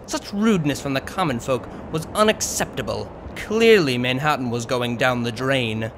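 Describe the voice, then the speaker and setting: A man's voice: mid-range voice, normal speed, fairly bright tone, slight background noise. A man reading scripted fiction as an audiobook narrator.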